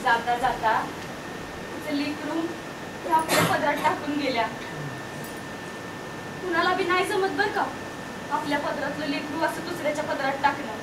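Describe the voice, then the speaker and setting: बाईने साकारलेली खूप उंच आवाजाची, विनवणारी गावाकडची बायको. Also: female voice, high voice, normal speed, pauses often, lightly acted. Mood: earnest pleading. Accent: rural Maharashtrian Marathi. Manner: coaxing, pleading. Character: pleading, caring village wife coaxing her grieving husband. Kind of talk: stage drama acting. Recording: distant echoey stage recording, loud noise.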